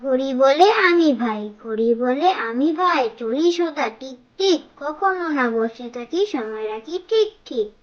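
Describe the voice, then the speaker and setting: A performer voicing a young child who leads cartoon nursery rhymes in a measured, repetitive sing-song. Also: sits high, expressive, highs cut off.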